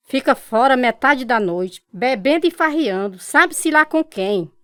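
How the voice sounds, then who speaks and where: A woman's voice: high voice, lively intonation, unhurried, clear, resonant tone, no room sound, clean studio sound. An actress playing a harsh, bitter middle-aged mother in a Brazilian Portuguese radio drama, with a scolding, cutting delivery. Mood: bitter accusing. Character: harsh, bitter mother, spiritist, scornful and unforgiving.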